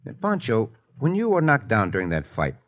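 A man's voice: lowish voice, wildly swooping pitch, brisk, highs cut off. A man playing a confident caballero hero in a 1950s radio drama. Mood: calm, scheming. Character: dashing male Mexican caballero hero, confident. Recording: noisy old radio broadcast.

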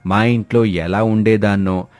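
An exasperated father-in-law from a cartoon moral story, acted by a man. He grumbles about his household in a lowered voice. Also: fairly even intonation, unhurried, darkish tone, close to an everyday voice. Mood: grumbling. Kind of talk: animated moral-story voice acting.

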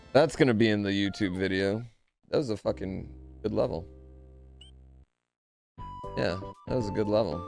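A man's voice: fairly low pitch, vocal fry. A man, around his thirties, streaming a video game with casual, slangy, sweary commentary to his chat, speaking into a close desk microphone at home. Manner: profane, excitable, slangy. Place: home streaming room, indoors. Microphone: close desk microphone on an arm. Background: video game music.

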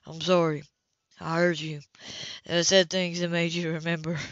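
A woman voicing a gruff, protective man in an audiobook, angry yet tender, with a murmuring manner. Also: level tone, normal speed, no room sound, lightly acted. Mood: gruff, sorry. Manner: low, murmuring. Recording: slightly dull home recording.

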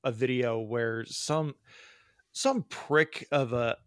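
A man's voice: medium pitch, slow pace, smooth, dry recording, clean studio sound. A man co-hosting a podcast who tells stories with lots of laughs and swearing. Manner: animated, swearing, laughing storyteller. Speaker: podcast co-host. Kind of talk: casual chat.

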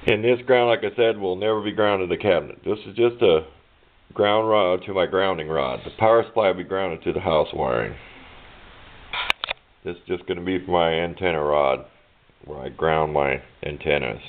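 A man's voice: deep voice, normal speed. A plainspoken, rambling man giving an informal hands-on walkthrough of a DIY project, recorded indoors on a handheld camera mic. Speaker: DIY electronics hobbyist and presenter. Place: indoors at a home workbench. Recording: muffled, narrow phone-like camera audio.

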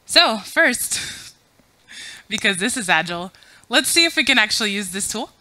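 Woman from afghanistan running a conference talk, jokey asides, bright voice, mid pitch. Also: sing-song, normal speed, dry recording, rough recording. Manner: chatty, self-deprecating, joking asides. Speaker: conference speaker. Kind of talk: conference talk with audience polls. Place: conference room with audience. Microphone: podium mic.

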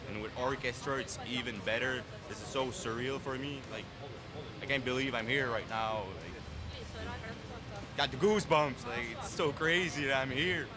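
A man in his thirties from Canada, talking with awestruck enthusiasm in an excited street interview as a fan. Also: mid-range voice, normal speed. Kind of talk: excited vox pop interview. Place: outdoor city plaza. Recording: loud music behind street interview. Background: loud music.

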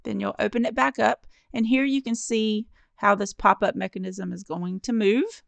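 A woman's voice: medium pitch, steady tone, normal speed, vocal fry, no room sound. A woman narrating a step-by-step card-making tutorial in a friendly, encouraging, instructive way. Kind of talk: step-by-step craft tutorial voice-over.

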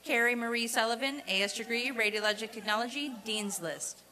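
A woman reading out a formal roll of graduates at a commencement, in a deliberate, repetitive announcing cadence. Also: a little high, bright, forceful tone. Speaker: commencement name reader. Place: outdoor college commencement ceremony.

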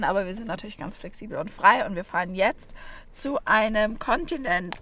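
A young woman chatting casually as a travel vlogger, often pausing with fillers. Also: mid-range voice, fairly bright tone. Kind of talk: casual travel vlog chat.

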